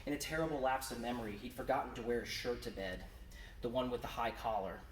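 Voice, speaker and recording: A man's voice: mid-range voice, quick pace. A man giving a theatrical, emphatic live reading of his own horror story as its author. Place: indoor convention event room. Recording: roomy, noisy live room, distant mic.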